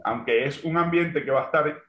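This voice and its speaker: Man, guest entrepreneur, slightly high voice, reflective, lots of filler words, echoey room, dull lo-fi audio. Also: fairly quick, bright, forceful tone. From Venezuela. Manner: thoughtful, full of fillers like "este" and "digamos, ¿no?". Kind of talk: remote interview. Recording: roomy, dull lo-fi sound.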